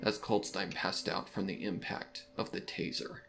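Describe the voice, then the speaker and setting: A man's voice: low voice, little pitch movement, moderate pace, creaky, a bit bright, a little hiss or hum. A man reading fan fiction aloud as a dramatic, scripted story narration. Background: background music.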